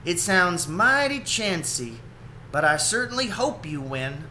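A man's voice: sits high, very animated, measured pace, pushed and bright, slight background noise, pitched above the performer's own voice, full character voice. A man voicing a skeptical, folksy female animal friend from a storybook. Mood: skeptical, concerned. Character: doubtful female friend of otter mother. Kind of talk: storybook character reading.

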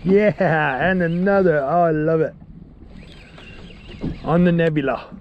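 A middle-aged man giving excited casual commentary while fishing from a small boat at sea, recorded on a head-mounted action camera. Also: fairly high pitch, slow pace, lo-fi sound. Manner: excitable, cheers and shouts "bam" at catches. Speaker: angler vlogger. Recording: loud wind and boat noise.

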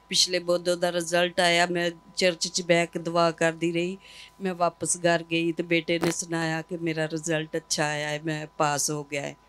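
Flat-voiced middle-aged woman, Punjabi accent. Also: lowish voice. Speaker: church member giving testimony. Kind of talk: spoken testimony. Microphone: handheld mic held by host.

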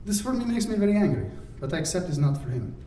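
A breathy, intense young woman painter, as a male narrator puts her on, speaking soft, halting, broken English. The voice sits higher than his own and quieter. The storybook recording is roomy and distant, with a faint hiss. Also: very animated. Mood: aggrieved.

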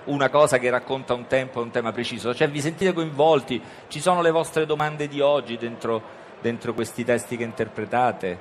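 A male radio host interviewing guests at a book fair, breaking in with quick ironic jokes. His voice sits at a middle pitch. Faint ambient noise from the hall sits under the voice. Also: little pitch movement.

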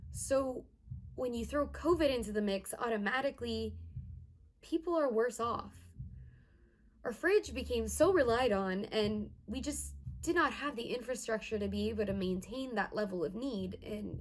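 A woman in her twenties playing an earnest young community organizer in a conversational first-person monologue. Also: a little high. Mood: serious, proud. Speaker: actor in a dramatized monologue. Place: indoors, plain room.

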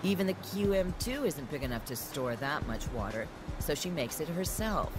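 A man doing measured, scripted narration for a documentary voice-over. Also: a little high, very animated. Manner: measured, explanatory. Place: studio voice-over. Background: music.

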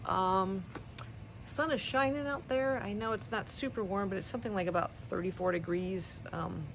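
A middle-aged woman chatting casually about books in a vlog, halting as she thinks aloud. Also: mid-range voice, creaky, background noise. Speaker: book vlogger. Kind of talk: casual book review chat. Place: small wood-paneled living room indoors. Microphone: phone or camera at arm's length.

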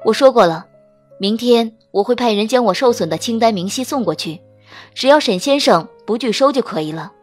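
A woman's voice: a little high, lively intonation, fairly quick, darkish tone, soft background music, pitched below the performer's own voice. A woman playing a proud, cutting businesswoman. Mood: cool, cutting. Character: sharp-tongued rich young businesswoman, proud and cool.

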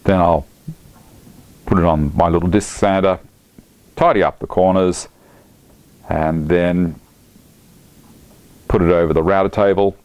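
A man's voice: low-pitched, steady tone, a little slow, long pauses, darkish tone, smooth. A middle-aged man, chatty and enthusiastic, explaining a woodworking project step by step in his home workshop. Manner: chatty, enthusiastic, talks while working. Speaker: woodworking instructor.

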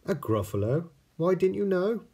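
A clever little brown mouse from a children's storybook, acted out by a man. He is polite and bold, speaking with animation in a husky voice. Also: high-pitched, sing-song, moderate pace, warm tone, pitched above the performer's own voice, heavily acted. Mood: excited.

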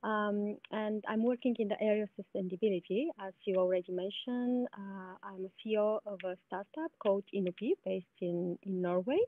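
Woman on a tinny video call. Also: medium pitch. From Bulgaria. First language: Bulgarian. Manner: friendly, halting with frequent fillers and repeats. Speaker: startup founder, guest. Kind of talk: casual interview answers. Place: outdoors, sunny spot with palm trees. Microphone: laptop video call.

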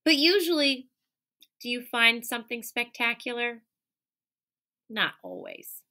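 A woman in her thirties, a teacher reading a picture book aloud to children, animated, with gasps and questions to her young listeners, recorded on a close webcam in a classroom. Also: a little high, unhurried. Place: classroom or office with window and colorful wall hanging. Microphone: webcam at close range.

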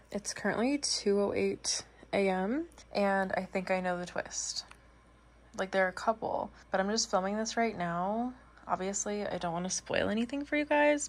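Raspy chatty young woman vlogging at home. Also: medium pitch. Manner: chatty, rambling, lots of "like". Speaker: book vlogger. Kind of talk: casual vlog chat. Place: home, bedroom and kitchen.

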